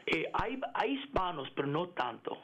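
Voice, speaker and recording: A middle-aged man in a radio interview, speaking haltingly with many fillers and restarts and slipping into English words. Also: a little high, big pitch swings, gravelly, a bit bright. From United States. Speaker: interview guest, engineering executive. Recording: radio broadcast.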